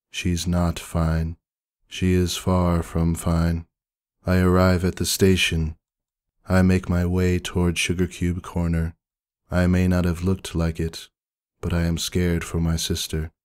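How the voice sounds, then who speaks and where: A stoic older sister pony played by a man narrates a dramatic story in a deep, deadpan voice. The delivery is terse and unemotional, and the sound is close and dry. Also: clean tone, no room sound. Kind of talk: dramatic first-person story reading.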